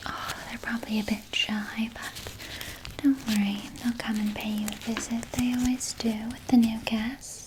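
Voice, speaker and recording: A woman playing a vampire nurse in an ASMR roleplay, whispering breathily and coddling the listener with quiet menace. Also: on the high side, background noise, lightly acted. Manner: slow, soothing, coddling with veiled threat. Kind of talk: ASMR roleplay, personal attention.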